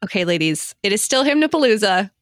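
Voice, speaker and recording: A woman hosting a podcast in a lively, casual group chat, with a playful, giggly manner. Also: medium pitch, quick pace, no echo, studio quality, soft background music. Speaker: podcast host.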